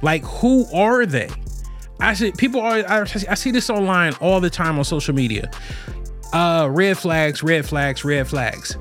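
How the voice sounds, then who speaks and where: A man's voice: fairly high pitch, a little slow, a bit bright, faint music behind. A man hosting a show alone and talking casually about relationships, rambling with lots of fillers and restarts. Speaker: podcast host. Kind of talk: casual solo talk on relationships.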